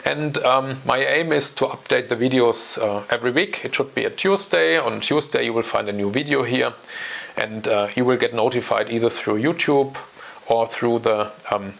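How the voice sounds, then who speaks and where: A man's voice: mid-range voice, normal speed, gravelly, fairly bright tone. A German man in his forties with a German accent, hosting his own channel and talking to camera alone in a casual, hesitant way with many fillers. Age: 40s. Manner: hesitant, many ums and uhs. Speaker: channel host. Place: home.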